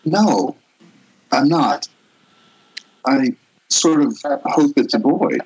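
A man playing a gentle, wry middle-aged father with a husky voice in an amateur script read over a muffled call. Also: mid-range voice, animated, extremely slow, full character voice. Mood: warm, wry. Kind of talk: amateur screenplay table read.

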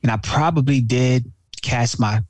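A man's voice: medium pitch, measured pace, no room sound. Slangy man in a casual chat. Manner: slangy and animated, repeats himself, says "bro" often.

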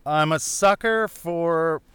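Middle aged guy guesting on a podcast, rambly chat, clear voice a bit on the high side. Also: slow pace, fairly bright tone, dry recording. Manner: rambling, digressive. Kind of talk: casual chat.

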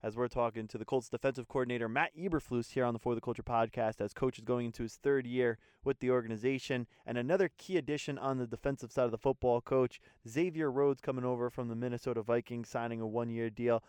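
A young podcast host from New Jersey asks his prepared questions quickly in a smooth, clear voice. The sound is close and dry, with no room around it. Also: medium pitch, steady tone, darkish tone, no echo. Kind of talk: scripted interview questions.

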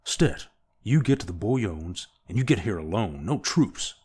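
A deep-voiced man doing a snappish tense agent. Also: very animated, measured pace, a little mellow, slightly rough, heavily acted. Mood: urgent. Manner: snapping, urgent. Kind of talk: audiobook character voice.

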